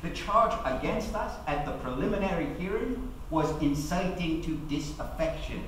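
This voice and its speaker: An actor playing a defiant labour activist in a theatrical dramatic reading, a middle-aged man with emphatic, oratorical, deliberate phrasing. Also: medium pitch, natural voice. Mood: grave, measured. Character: defiant labour activist recounting his sedition trial. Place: large hall, at a lectern on stage. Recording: echoey hall, distant mic, faint noise.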